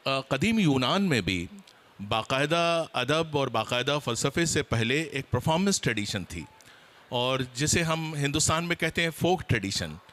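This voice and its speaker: A man's voice: mid-range voice, a bit bright. A man giving an explanatory talk as a panelist at a seminar, checking that listeners follow.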